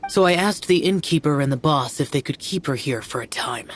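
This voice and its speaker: An actor playing a stern, aloof young male immortal guardian in a video game, speaking gravely and formally. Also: medium pitch, lively intonation, a little fast, slight background noise, close to an everyday voice. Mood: reserved, explaining. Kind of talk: video game cutscene acting. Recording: music playing behind the voice.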